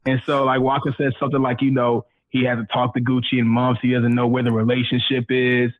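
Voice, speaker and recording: A man's voice: medium pitch, monotone, a little fast. A man telling stories casually as a radio guest, in a slangy, self-mocking way. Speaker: radio personality being interviewed as guest. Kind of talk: casual animated storytelling. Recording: thin phone-like audio.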